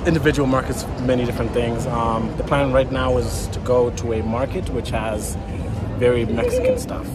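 A young man in his twenties talking casually to a handheld camera while walking through a city, rambling with fillers and restarts. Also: mid-range voice. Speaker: travel vlogger. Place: busy city street outdoors. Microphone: handheld camera at arm's length. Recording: street noise and traffic. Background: city traffic.

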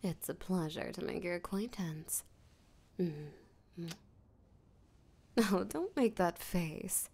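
Slow, creaky, seductive vampire voiced by a woman. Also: lowish voice, expressive, darkish tone, full character voice. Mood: teasing, seductive. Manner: breathy, teasing, flirtatious, slow and deliberate. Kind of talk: intimate roleplay audio drama, spoken to the listener.

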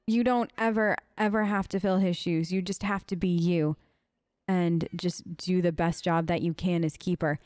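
A woman's voice: on the low side, steady tone, normal speed, a little mellow, no room sound, studio quality. A woman doing casual, earnest role-play commentary as a let's play host. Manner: informal, earnest, empathetic.

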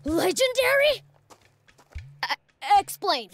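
Slow-talking cocky boy demon. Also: very high-pitched, big pitch swings, soft background music, heavily acted. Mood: incredulous. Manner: brash, blunt, slangy. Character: cocky young male demon prince. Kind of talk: video game cutscene acting.

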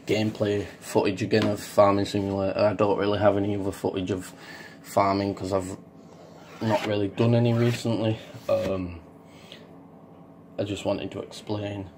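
A young man with a Yorkshire accent, croaky from being ill, giving a hesitant, rambling vlog update full of ums. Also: on the low side, a little mellow, a little hiss or hum. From Yorkshire, England. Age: young adult. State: croaky from illness. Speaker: farming YouTuber.

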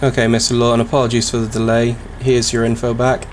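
A man with a slightly raspy voice and sore throat, calmly roleplaying a sheriff's deputy in an easygoing, polite way. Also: medium pitch, fast talker, slight background noise. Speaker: streamer playing a sheriff's deputy. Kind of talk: casual roleplay chat.

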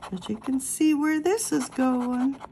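A woman hosting a scratch-off ticket vlog, calmly reading out numbers one by one as she scratches. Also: sits high, slow pace, a little hiss or hum. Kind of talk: casual talk while scratching lottery tickets.

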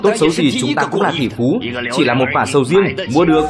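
A man doing voice-over dubbing for an animated film. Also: on the high side, brisk. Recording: loud music behind the voice.

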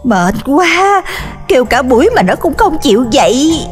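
A woman's voice: high-pitched, sing-song, measured pace, soft background music. A woman voicing a caring, exasperated mother pig in a children's cartoon, with a motherly, chiding manner.

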